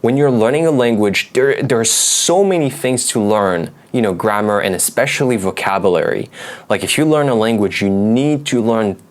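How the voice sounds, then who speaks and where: A man's voice: lowish voice, moderate pace. A young man, not a native English speaker, explaining language learning methods in loose conversational talk with many fillers and restarts. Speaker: language learning video host. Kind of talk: unscripted explanatory talk to camera.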